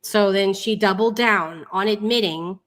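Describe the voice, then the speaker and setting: A woman's voice: medium pitch, a little slow, fairly bright tone. A woman hosting a live online commentary, moving between reading aloud and casual asides in an informal, sarcastic, mocking way. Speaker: livestream host.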